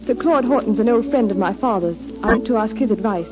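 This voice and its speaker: A woman's voice: fairly high pitch, quick pace, close to an everyday voice. An actress playing a guarded, well-bred young lady seeking help in a 1940s radio drama, formal in manner. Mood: polite, earnest. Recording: dull 1940s radio broadcast with music.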